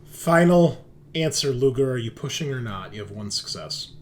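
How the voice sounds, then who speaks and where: A man's voice: mid-range voice, lively intonation, moderate pace. A man narrating a tabletop roleplaying game as game master, talking conversationally and directly to his players.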